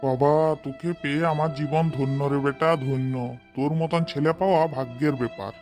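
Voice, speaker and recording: A hard-working, homely village father in a comedy cartoon, acted out by a performer. He talks quickly at a middling pitch. His manner is fond and comic. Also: steady tone, music in the background, natural voice.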